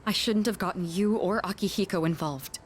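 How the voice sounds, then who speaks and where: An actress playing an earnest high school student council president in a video game, speaking formally and with measured care. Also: female voice, a little hiss or hum, close to an everyday voice. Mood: concerned.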